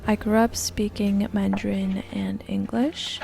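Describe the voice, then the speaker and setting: A woman in her twenties from Canada, narrating a language-learning routine in a soft, gentle, near-whispered voice-over. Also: medium pitch, level tone, a little slow, vocal fry. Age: 20s.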